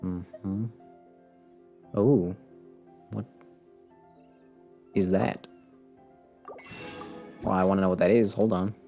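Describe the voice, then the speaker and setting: A man's voice: low-pitched, steady tone, slow pace, long pauses, warm tone, soft background music. A man giving casual live commentary as a game streamer, rambling and muttering to himself with playful sarcasm.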